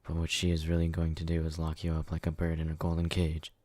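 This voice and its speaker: A man plays a jealous, brutish, devoted lover in an ASMR romance roleplay. His voice is extremely low and dark, recorded close and dry. He murmurs with clingy, obsessive intensity. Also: monotone, fast talker, dry recording. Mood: accusing.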